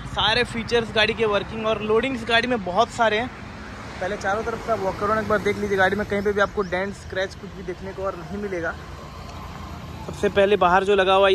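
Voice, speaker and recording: A man presents a used car as its dealer. His sales patter is persuasive but flat in melody, sprinkled with English terms. Outdoor and vehicle noise sits faintly behind him. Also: sits high. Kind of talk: unscripted sales walk-around. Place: outdoors by a roadside lot and inside a car.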